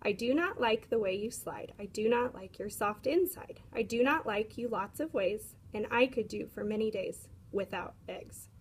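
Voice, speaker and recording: A woman's voice: mid-range voice, animated, moderate pace, few pauses, breathy edge. Woman voicing a fussy singsong little storybook girl. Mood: matter-of-fact, picky. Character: fussy, curious young girl badger, singsong and stubborn.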